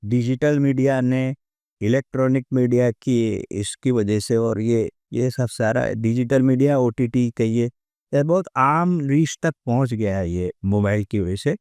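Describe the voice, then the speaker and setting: An Indian man explains his views as a guest in a conversation. His voice is medium in pitch, very clean and resonant, with a dry, close sound. He is emphatic and circles back on key phrases. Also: unhurried, a little mellow, clean tone, dry recording. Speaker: interview guest, author.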